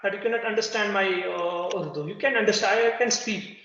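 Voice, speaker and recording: A young male teacher lectures fast in a high, bright voice, repeating key terms and checking in with his class. He is recorded by a far camera in a classroom with a whiteboard, so the sound is roomy, reverberant and dull. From Kargil. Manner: repeats key phrases, quizzes students. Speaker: chemistry teacher. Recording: echoey room, dull lo-fi sound.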